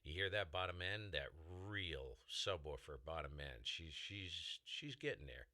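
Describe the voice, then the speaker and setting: A man with a very low baritone voice hosting a casual ham radio tutorial, rambling and sidetracking as he explains, recorded in a heavily dampened room. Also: lively intonation, measured pace, pushed and bright, no echo. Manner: rambling, self-interrupting explainer with mic tests. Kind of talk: casual how-to demonstration.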